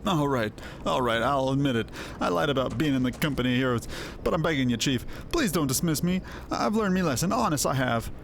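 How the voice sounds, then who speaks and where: A man's voice: mid-range voice, animated, fairly quick, gravelly, few pauses, slight background noise. A man voicing a cowardly, boastful mercenary axeman in a fantasy game, with a stammering, pleading manner. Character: cowardly boastful hard-drinking axeman, a bumbling male mercenary. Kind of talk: voiced fantasy game dialogue. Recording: music under the voice.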